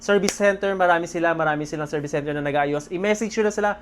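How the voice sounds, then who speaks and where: A man with a Filipino accent vlogging casually about his day, speaking in an excitable, appreciative way. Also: fairly high pitch, very fast. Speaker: motorcycle vlogger. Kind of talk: casual vlog talk to camera. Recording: noisy background ambience.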